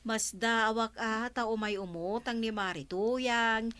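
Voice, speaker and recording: A woman's voice: on the high side, fairly even intonation, a little slow, fairly bright tone, clear, resonant tone, no echo. A woman with an Ilocano accent playing a gossipy village neighbor in a comedy radio drama.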